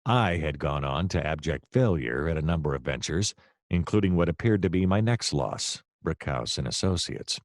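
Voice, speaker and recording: An actor playing a hapless hard-boiled private detective, narrating his case in a wry, deadpan noir radio-play style. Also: rumbling low, normal speed, dry recording, clean studio sound.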